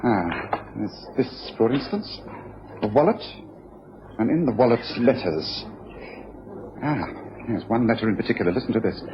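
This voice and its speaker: Brisk, self-assured male detective, acted by a man, period radio drama, lively intonation, dull recording. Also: mid-range voice, lightly acted. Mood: intent, deductive. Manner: decisive, declarative, explanatory. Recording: dull old radio recording, faint hiss.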